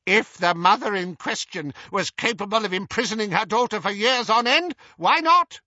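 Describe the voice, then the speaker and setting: Shrill old storybook aunt, played by a man: high, wildly swooping, gossipy, spiteful and gleeful. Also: huge pitch swings, measured pace, sharp tone, no room sound, muffled recording, full character voice. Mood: tartly scornful. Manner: relishing scandal, emphatic swooping delivery.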